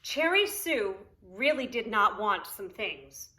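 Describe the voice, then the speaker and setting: Slow, animated woman reading to preschoolers. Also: mid-range voice. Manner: expressive, simple explanations addressed to small children. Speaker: preschool teacher reading aloud. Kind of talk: read-aloud storytelling for young children with explanatory asides.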